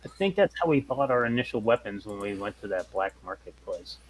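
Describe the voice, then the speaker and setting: A man's voice: lowish voice, sing-song, brisk, low-quality recording. A man playing a tabletop game, chatting casually with the other players. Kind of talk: casual chat.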